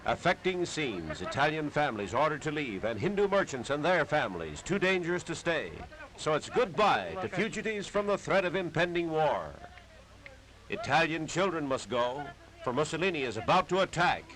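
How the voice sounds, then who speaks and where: A man's voice: fairly high pitch, sing-song, normal speed, crowd noise, poor audio. An American man narrating a 1930s newsreel in a dramatic, declamatory manner on an old period soundtrack.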